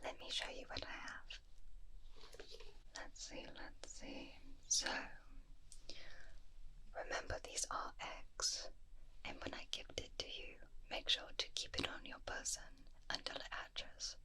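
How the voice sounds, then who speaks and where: Woman in a slow, crisp whisper. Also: poor audio. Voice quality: breathy unvoiced whisper. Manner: slow, gentle, soothing, attentive to the listener. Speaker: ASMR roleplay host playing a magical creature shopkeeper. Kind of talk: ASMR whispered fantasy roleplay, personal attention. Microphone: close binaural microphone.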